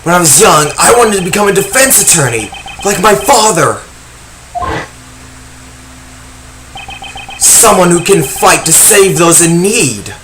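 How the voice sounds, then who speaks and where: A man's voice: on the high side, background noise. A man playing a stern, noble young prosecutor in a game fandub, speaking formally and earnestly. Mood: conflicted. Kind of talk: video game fandub dramatic acting. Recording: music behind.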